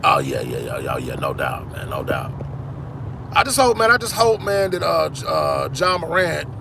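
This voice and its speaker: A man's voice: on the high side, huge pitch swings, moderate pace, creaky, background noise. A man in his forties, hosting a sports channel, chatting casually with viewers in a rambling way, often repeating "man". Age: 40s. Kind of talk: casual live-stream chat with viewers.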